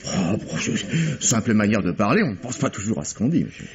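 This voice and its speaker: A suave, ironic playwright. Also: male voice, fairly low pitch, very animated, fairly quick, breathy edge, heavily acted. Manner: urbane, ironic, polished. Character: suave, self-satisfied playwright. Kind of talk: 1950s radio drama acting. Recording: dull old radio broadcast.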